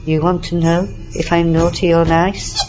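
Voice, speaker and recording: A man's voice: on the high side, little pitch movement, normal speed, a little mellow, lo-fi sound, faint music behind, voice pushed up, natural voice. A man playing a cocky, bullying young boy in a horror story reading. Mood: cheeky.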